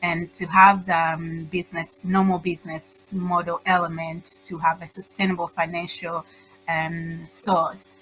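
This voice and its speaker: A middle-aged woman with a Tanzanian accent giving informal, halting answers as an interview guest. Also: on the low side, level tone, fairly bright tone, soft background music, rough recording. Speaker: guest, social entrepreneur.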